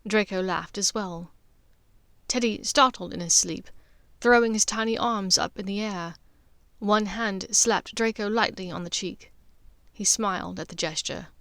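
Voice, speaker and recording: A woman's voice: medium pitch, measured pace, a bit bright, no room sound. A woman reading scripted fiction as an audiobook narrator. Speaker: author and narrator.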